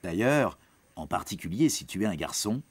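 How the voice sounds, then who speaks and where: A man's voice: low-pitched, big pitch swings, moderate pace, husky. A man giving scripted educational narration for young teens in a reassuring, explanatory manner. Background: background music.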